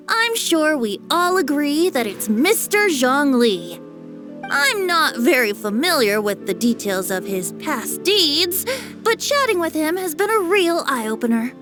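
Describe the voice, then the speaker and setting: A boyish wandering bard in a game dub. The voice is light, gracious and playful, with eloquent, unhurried phrasing over clear music. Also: female voice, sits high. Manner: eloquent, flattering. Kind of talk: animated game dub acting.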